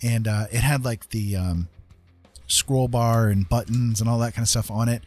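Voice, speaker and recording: A man's voice: mid-range voice, fairly even intonation, moderate pace, darkish tone, faint music behind. A man chatting casually as he streams a painting session, thinking aloud in a hesitant, rambling way. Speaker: streamer giving art tutorials. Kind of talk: casual livestream chat while painting.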